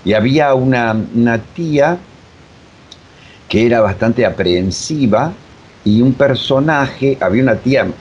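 An older man with an Argentine accent reminiscing in a casual interview, halting and repeating himself. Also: mid-range voice, lively intonation, darkish tone. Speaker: radio drama director, interview guest. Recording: dull remote sound with faint noise.

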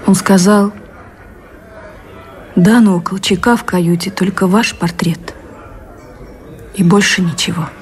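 A woman's voice: mid-range voice, steady tone, measured pace, pauses often, close to an everyday voice. An actress playing a devoted, romantic woman in a radio drama, reminiscing tenderly and wistfully. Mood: fond, animated. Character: Anna, devoted romantic woman reminiscing with her beloved admiral. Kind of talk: Russian radio drama acting. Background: music.

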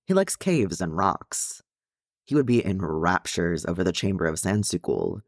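Man acting out a young male dwarf, easygoing, explanatory, lively intonation, fantasy storybook companion. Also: deep voice, measured pace, dry recording, studio quality, heavily acted. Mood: fond.

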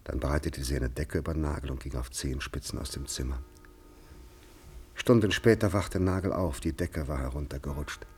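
Measured literary narrator, grave, deep voice, rough-edged, fifties radio drama. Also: male voice, a little mellow, heavily acted. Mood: subdued. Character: grave, measured male storyteller.

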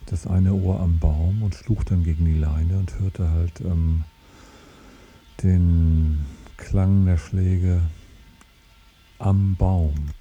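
A man's voice: low voice, fairly even intonation, drawn-out words, dark tone, lo-fi sound, soft background music. A man telling a casual, unscripted memory from his childhood, thoughtful and a little hesitant, with small fillers. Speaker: interviewee recalling childhood. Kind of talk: casual spoken recollection.

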